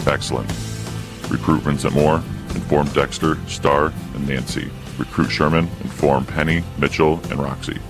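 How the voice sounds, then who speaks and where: A stern military man with an extremely low, gravelly voice. He barks clipped, commanding orders in an audio drama, with music playing underneath. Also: level tone, normal speed. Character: stern male military recruiter giving orders.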